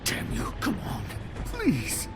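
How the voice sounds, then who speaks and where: An actor voicing a cowardly, desperate middle-aged official in a video game, stammering and pleading, with music underneath. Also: male voice, slow, lightly acted. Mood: panicked, pleading. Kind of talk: dramatic video game acting.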